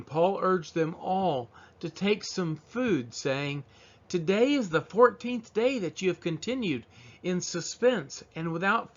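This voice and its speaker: A man reading scripture aloud as a Bible reader, with measured, expressive phrasing. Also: on the high side. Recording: dull, lo-fi sound.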